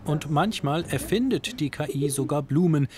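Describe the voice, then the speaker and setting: A man's voice: a little high, animated, normal speed, a little mellow. A man narrating a scripted television news magazine report.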